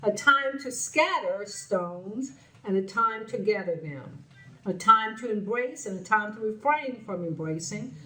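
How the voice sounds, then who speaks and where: Earnest, slightly raspy woman host. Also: medium pitch, lively intonation, a little slow, sharp tone. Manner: earnest, repeats phrases for emphasis. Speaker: show host. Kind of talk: spoken commentary to camera. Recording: roomy sound from a distant mic with faint music.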